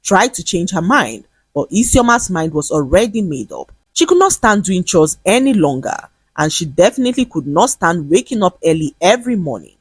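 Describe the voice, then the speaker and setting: A woman storyteller, narrating a comic moral tale in a lively, expressive way. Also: lowish voice, moderate pace, hardly any pauses, husky, no room sound.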